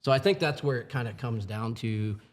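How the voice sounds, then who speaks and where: A man's voice: medium pitch, expressive, a little fast. A man in his forties, a firefighting supervisor, talking in an earnest, plain-spoken way with many asides in a casual podcast chat. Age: 40s.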